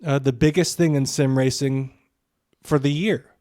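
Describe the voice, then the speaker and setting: A man's voice: medium pitch, steady tone, a little slow, darkish tone, no echo, clean studio sound. A man co-hosting a podcast with casual, opinionated commentary, emphatic, repeating phrases for stress and swearing freely. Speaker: podcast co-host.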